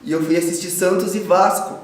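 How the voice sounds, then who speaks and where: A man's voice: sits high, steady tone, fairly quick. Young Brazilian man in a very echoey room. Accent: Brazilian Portuguese. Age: 20s. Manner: reflective, searches for words, laughs softly. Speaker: guest. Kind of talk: casual interview answers.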